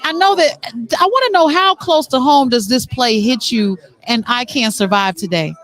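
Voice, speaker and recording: A middle-aged woman with a high voice hosts a casual interview on location. She chats playfully and piles on compliments as she talks. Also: lively intonation, normal speed, fairly bright tone. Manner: chatty, playful, effusive with compliments. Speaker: show host and interviewer. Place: on location at a theatre after a stage play.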